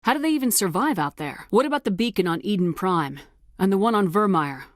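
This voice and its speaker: A woman's voice: medium pitch, lively intonation, fairly quick, no room sound, studio quality, lightly acted. A woman playing a determined, commanding military officer in a video game, speaking in a firm, clipped, authoritative way. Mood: serious, questioning. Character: commanding female military officer, steely, determined space soldier. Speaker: voice actor. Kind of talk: video game dialogue acting.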